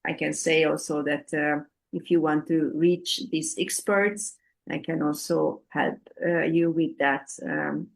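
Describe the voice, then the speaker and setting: A woman, answering audience questions in a halting way, full of ums and uhs, over a video call. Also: deep voice, steady tone, gravelly. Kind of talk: hesitant Q&A answers, many fillers.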